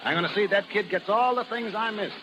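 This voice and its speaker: Male actor as a brisk slangy american carny boss, middle-aged, talks fast, old noisy 30s radio play. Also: sits high, close to an everyday voice. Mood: impatient. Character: gruff hard-boiled sideshow boss, devoted father of grown son. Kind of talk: 1930s radio acting.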